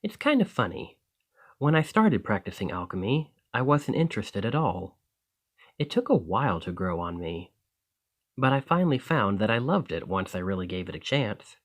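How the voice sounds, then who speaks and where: A man's voice: lowish voice, very animated, normal speed. A man playing a friendly, earnest, easily flustered alchemist in an ASMR roleplay, talking conversationally to the listener. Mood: bashful. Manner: conversational, enthusiastic, hesitant when embarrassed.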